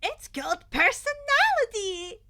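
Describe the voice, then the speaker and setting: A woman's voice: very high voice, very animated, measured pace, bright, forceful tone, voice pushed up, exaggerated voice. A woman voicing a bubbly, playful teenage girl who exclaims with great enthusiasm in a story reading. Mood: excited.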